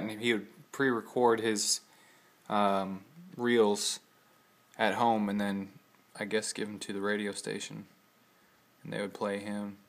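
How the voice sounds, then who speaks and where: A man's voice: fairly low pitch, a bit bright. A man giving a casual, off-the-cuff show-and-tell in his living room, speaking in a rambling, hesitant, informal way. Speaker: hobbyist showing his vintage audio gear.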